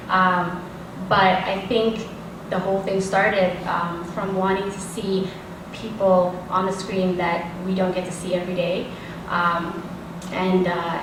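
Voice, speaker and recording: A woman film director answering at a panel discussion, hesitant, with many fillers. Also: mid-range voice. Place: large assembly hall. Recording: echoey hall from far off, background noise.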